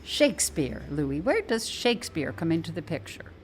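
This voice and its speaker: An actress playing a wry, compassionate single New York woman who cares for the sick in a radio drama, speaking softly and with measure. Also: low voice, sing-song, normal speed, a little hiss or hum. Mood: playful. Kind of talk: comedic radio drama acting.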